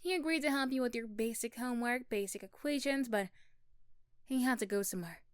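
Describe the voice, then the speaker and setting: A woman reading scripted second-person story narration for ASMR, soft and hushed, often slipping toward a whisper. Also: fairly high pitch, fairly quick, clear, resonant tone, dry recording, studio quality. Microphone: close, dry microphone.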